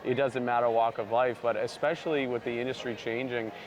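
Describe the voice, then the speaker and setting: A man's voice: mid-range voice, fairly even intonation, brisk, rough recording. A man who founded a barber brand, talking earnestly in a sit-down interview and leaning on lots of "you know" fillers. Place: outdoors at a busy trade convention.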